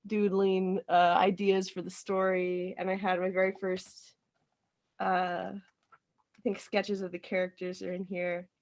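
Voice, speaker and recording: A woman giving a casual interview as a playwright, speaking haltingly and thinking aloud. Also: fairly low pitch, completely flat delivery, a little mellow. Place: remote video call.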